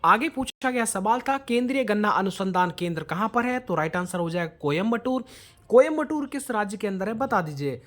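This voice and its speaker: A man's voice: sits high, animated, a little fast, fairly bright tone. A man teaching an exam-prep lesson in quiz style, prompting listeners with questions and mixing in English words.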